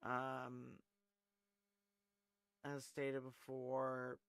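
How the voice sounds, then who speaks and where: Gravelly-voiced man hosting a live show. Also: mid-range voice, completely flat delivery, extremely slow, a bit bright, dry recording. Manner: rambling, filler-heavy, reads chat aloud. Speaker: call-in show host. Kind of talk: casual live-stream chat.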